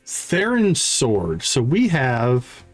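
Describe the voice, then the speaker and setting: A man livestreaming a game, thinking aloud in casual commentary and reading item stats in a deliberate, musing way. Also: mid-range voice, wildly swooping pitch, no echo, faint music behind.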